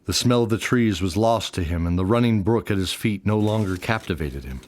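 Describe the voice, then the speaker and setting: A man's voice: lowish voice, brisk, a little mellow, dry recording. A man reading scripted third-person narration for a fantasy radio play.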